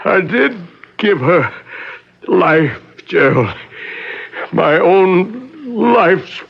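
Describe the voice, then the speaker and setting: An actor playing a possessive, sardonic professor in an old-time radio drama, with a theatrical delivery. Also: male voice, sits high, lively intonation, drawn-out words, gravelly, slight background noise, heavily acted. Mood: dying, weak. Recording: dull old radio recording.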